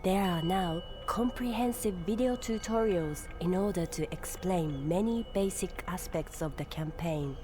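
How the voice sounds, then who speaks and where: A woman voicing a game's tutorial advisor in calm, measured, scripted narration. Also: lowish voice, lightly acted. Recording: game audio with music.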